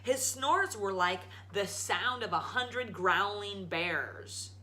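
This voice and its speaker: A woman storyteller reading a children's story aloud in a dramatic, emphatic, expressive way. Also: medium pitch, takes it slowly. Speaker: storyteller, show host.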